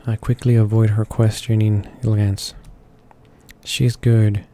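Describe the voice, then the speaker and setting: A man's voice: on the low side, level tone, takes it slowly, warm tone. A man reading visual novel narration aloud for his gaming channel, stumbling over words as he goes. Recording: faint music behind the voice. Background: game music.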